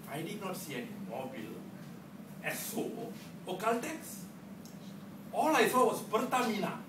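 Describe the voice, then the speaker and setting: A middle-aged man with a Malaysian accent delivers a humorous prepared stage speech, theatrical and deliberate, with emphatic pauses. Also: fairly high pitch. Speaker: contest speaker at a public speaking club. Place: indoor club meeting room. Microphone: far camera. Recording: distant mic in echoey room, noisy.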